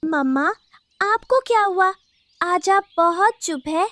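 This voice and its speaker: A sweet, excitable young daughter bird from a children's cartoon , acted by a woman. The voice is very high and childlike, given to exclaiming, in a dry recording. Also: slow, no room sound, full character voice. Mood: concerned. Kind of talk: Hindi children's cartoon story.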